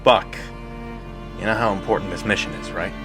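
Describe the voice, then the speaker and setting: An actor playing an earnest young soldier hero in an audio drama, stammering when flustered and firm when giving orders. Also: male voice, deep voice, a little fast, natural voice. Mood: stern. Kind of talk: cinematic audio drama acting. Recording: music behind the voice.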